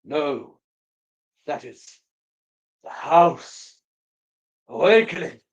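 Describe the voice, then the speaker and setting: Man playing a slow, brooding Victorian ghost. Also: on the high side, animated, highs cut off. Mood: brooding, ominous. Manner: formal, dramatic, portentous. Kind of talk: live dramatic reading of paranormal romance.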